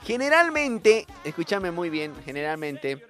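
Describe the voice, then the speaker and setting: A man's voice: a little high, very animated, unhurried. A young man hosting a comedic radio monologue in a theatrical, playful way, repeating his words. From Bolivia. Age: young adult. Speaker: radio host, comic monologist. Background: loud music bed.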